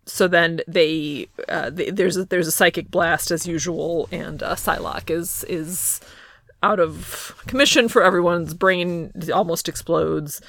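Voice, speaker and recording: Wry, creaky-voiced woman chatting. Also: lowish voice, fairly even intonation, a little slow, a bit bright, no room sound. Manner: wry, playful, often laughing. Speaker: podcast co-host. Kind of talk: casual comic book recap chat.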